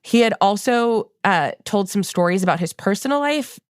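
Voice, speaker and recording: A woman's voice: medium pitch, lively intonation, moderate pace, no room sound, clean studio sound. An American woman from Long Island, a podcast producer, telling a reported story in a conversational narrative style. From Long Island, New York, United States.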